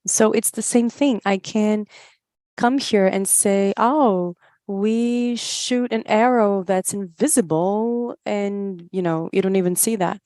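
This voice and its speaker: A woman giving a live spoken interpretation of a talk, in halting phrases with pauses mid-thought. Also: medium pitch, a little mellow, no room sound, clean studio sound. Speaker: interpreter voicing another speaker's words.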